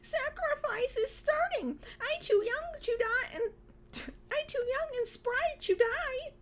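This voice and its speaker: A woman's voice: very high voice, slow pace, warm tone, pitched above the performer's own voice. A woman voicing a panicky young girl in broken English, with a whimpering, dramatic manner. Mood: terrified wailing.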